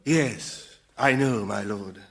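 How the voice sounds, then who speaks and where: An actor playing an obsequious, scheming demon servant, florid and melodramatic, in radio sitcom style. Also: male voice, on the low side, slow, a bit bright. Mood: grovelling, sly.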